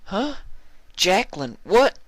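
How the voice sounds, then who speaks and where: A man's voice: high-pitched, sing-song, slow pace, dry recording, voice pushed up, heavily acted. A man voicing a young female cowgirl pony, a feisty, desperate granddaughter in a Western storybook reading, with music behind. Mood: stunned. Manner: shouting, pleading, folksy Western insults.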